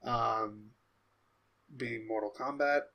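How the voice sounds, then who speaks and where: A man's voice: mid-range voice, fairly even intonation, takes it slowly, highs cut off. A man co-hosting a pop culture podcast, talking casually in an informal discussion. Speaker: podcast co-host.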